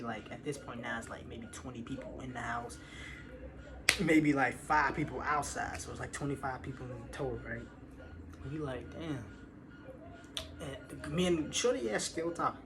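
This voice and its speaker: A young American man casually telling a personal story in slangy, expletive-heavy speech, acting out what people said. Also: mid-range voice. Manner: animated slangy retelling with acted-out quotes, swearing freely. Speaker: online storyteller. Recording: clearly noisy background.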